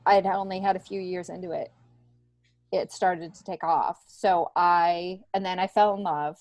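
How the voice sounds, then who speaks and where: A middle-aged American woman telling stories casually as a guest, in a halting, animated way that often restarts sentences. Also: on the low side, no room sound. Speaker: podcast guest, stand-up comedian. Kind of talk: casual conversational storytelling.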